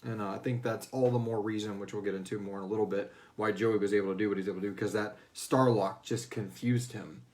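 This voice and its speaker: A man's voice: lowish voice, fairly even intonation, fast, few pauses, darkish tone. A man hosting a casual question-and-answer discussion as the writer of a fiction series, talking informally and slipping into bits of acted dialogue. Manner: informal, acts out character lines in passing. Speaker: host and writer of a serialized audio fiction series.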